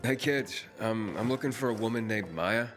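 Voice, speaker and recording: A man's voice: on the low side, normal speed, lightly acted. An actor playing a determined young wanderer in a video game, casual but firm as he questions people. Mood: matter-of-fact. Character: determined young male wanderer, probing, streetwise hero. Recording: music behind the voice.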